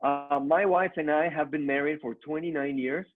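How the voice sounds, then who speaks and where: A middle-aged man with a Mexican accent speaks in a fairly high voice as an upbeat motivational trainer. He talks straight to his online audience. The sound is thin and narrow, like a phone line. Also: steady tone, moderate pace. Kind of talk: motivational business presentation.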